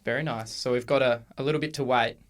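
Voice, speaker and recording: An Australian man in his twenties talks in a relaxed, unhurried way, often pausing with ums and starting his sentences over. His voice sits in an ordinary middle pitch. He comes across as a casual podcast co-host. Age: 20s. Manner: relaxed, hesitant with many ums and restarts. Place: university room.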